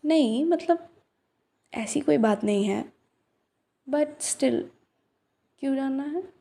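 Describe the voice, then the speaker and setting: A woman in a soft-spoken roleplay, playing a young flower shop owner who teases gently and drops into breathy whispers. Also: sits high, expressive, takes it slowly. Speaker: voice actress in a listener roleplay. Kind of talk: ASMR roleplay, one side of a conversation.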